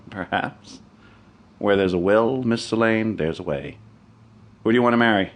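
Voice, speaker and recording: An actor playing a shrewd, unflappable American defense lawyer in a radio drama, with a clipped, questioning manner. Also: male voice, fairly low pitch, animated, a little slow. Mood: measured. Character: shrewd, cool male criminal defense lawyer.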